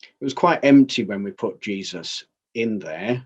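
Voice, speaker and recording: A man playing a grave, dignified councillor giving measured, formal testimony in a dramatized inquest, in slightly dull sound. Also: lowish voice, lively intonation, slightly rough. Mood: grave, factual. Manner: measured, formal, plain-spoken.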